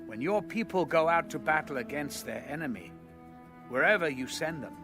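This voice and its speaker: An actor playing a devout ancient king in a dramatized Bible reading, solemn, measured and reverent. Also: medium pitch, close to an everyday voice. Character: devout ancient king praying before his people.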